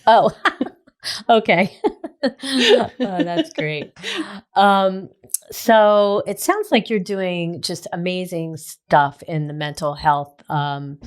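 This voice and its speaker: A woman's voice: fairly low pitch, expressive, very slow. A woman hosting an interview podcast, upbeat and enthusiastic, asking questions with a few restarts. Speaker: podcast host. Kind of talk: casual interview.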